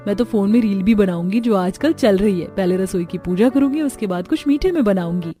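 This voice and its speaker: A woman's voice: mid-range voice, a little fast, warm tone, faint music behind, lightly acted. A woman playing a young daughter-in-law in a family cartoon. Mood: hopeful.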